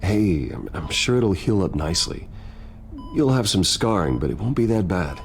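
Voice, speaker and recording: An actor playing an earnest, brooding wealthy young man in a video game drama, gravelly, gentle and hesitant as he reassures. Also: deep voice, very animated, moderate pace, slight background noise, full character voice. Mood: sympathetic, awkward.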